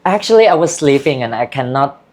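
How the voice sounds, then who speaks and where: A woman's voice: a bass voice, expressive, a little fast, a little mellow. A woman from Thailand with a Thai accent, speaking candidly as an interview guest in loose, broken English. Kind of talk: casual interview chat.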